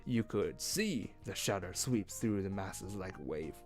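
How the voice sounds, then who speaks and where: A man reading a story aloud as host of a read-along, with expressive narration and casual asides. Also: deep voice, unhurried. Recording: music behind.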